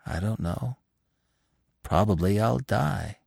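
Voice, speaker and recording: A man voicing an exhausted young male deer in a storybook, speaking softly, seriously and with quiet resignation. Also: on the low side, animated, slow, vocal fry, breathy edge, no room sound, studio quality, full character voice. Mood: weak, resigned. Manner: soft, serious, quiet and simple. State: weak.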